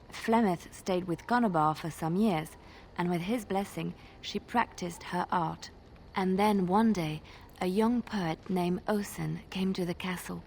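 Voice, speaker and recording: An actress playing a traveling minstrel in a fantasy game, telling tales in a measured, suspenseful and lyrical way. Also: female voice, fairly low pitch, darkish tone, a little hiss or hum. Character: female traveling minstrel, soft-spoken, wistful storyteller. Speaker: game companion character. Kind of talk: dramatic storytelling in a fantasy game.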